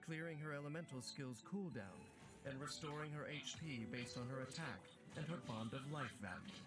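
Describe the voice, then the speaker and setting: A man narrates a game trailer from a script in a measured, formal storyteller's way. His voice sits at a middle pitch. Music plays clearly behind him throughout.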